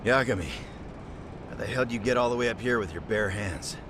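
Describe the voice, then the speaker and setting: An actor playing a rough, sardonic street fighter in an English game dub, with a blunt way of talking. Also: male voice, lowish voice, fairly quick, noisy background, close to an everyday voice. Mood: gruff banter.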